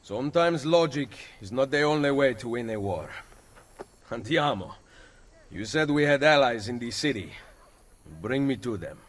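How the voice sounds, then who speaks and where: An actor playing a confident, determined Renaissance assassin leader with an Italian accent in a video game cutscene. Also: male voice, mid-range voice, expressive, normal speed, in a large hall, natural voice. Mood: calm, assured.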